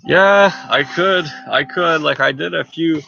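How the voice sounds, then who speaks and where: A man's voice: fairly high pitch, unhurried, gravelly, dull audio, background noise. A man whose first language is French, chatting casually and rambling with viewers during a live stream from a tent. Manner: rambling, many fillers and restarts. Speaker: channel host. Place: inside a tent.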